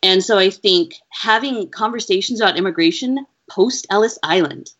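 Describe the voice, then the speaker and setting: A woman researcher answering interview questions in an earnest, measured, explaining way. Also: medium pitch, a bit bright. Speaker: education researcher, interview guest. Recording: dull lo-fi audio.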